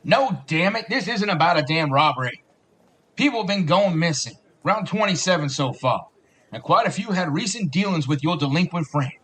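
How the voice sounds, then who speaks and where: Audio drama sergeant male actor: middle-aged, blunt, caring, husky voice pitched a little high. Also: animated, normal speed, a bit bright. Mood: insistent, concerned. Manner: folksy, blunt, calls younger men kid and bub. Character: gruff, fatherly older police sergeant, blunt but caring.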